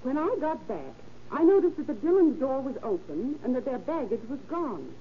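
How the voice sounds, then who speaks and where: Chatty young landlady in old time radio, high bouncy voice, muffled noisy recording. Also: very animated, normal speed. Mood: helpful, matter-of-fact. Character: chatty, helpful young landlady of a rooming house. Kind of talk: 1930s radio acting.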